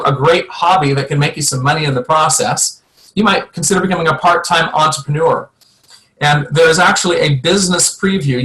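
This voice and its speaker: A man's voice: on the high side, pushed and bright. A man hosting a sales webinar, talking in an upbeat and persuasive way. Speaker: webinar host, product presenter. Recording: echoey room.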